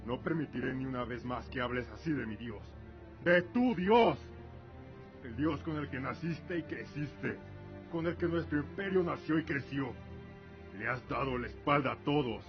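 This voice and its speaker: A man playing a stern, fanatically devout warrior father in a radio drama, speaking gravely with accusing, commanding force. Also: mid-range voice, expressive, moderate pace, natural voice. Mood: righteous fury. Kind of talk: Spanish-language audio drama acting. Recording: muffled audio with music.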